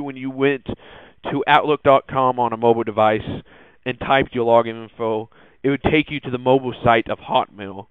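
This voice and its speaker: The sound is thin and phone-like, dry and close with little room to it. A man talks casually as a podcast host, in a smooth voice. His delivery is halting and hesitant as he works through the news. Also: medium pitch, no echo. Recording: muffled, phone-like narrowband audio.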